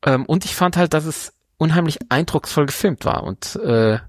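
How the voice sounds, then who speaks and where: A man's voice: a little high, expressive, normal speed, dry recording, studio quality. A man speaking as a podcast host in a casual film review chat, earnest and thinking aloud.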